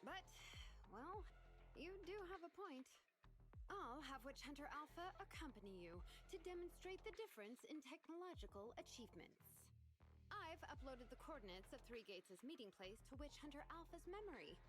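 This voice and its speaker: A woman's voice: high-pitched, sing-song, moderate pace, over music. An actress voicing a boastful young woman tech rival who taunts and gloats in a game cutscene. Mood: condescending. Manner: mocking, gloating.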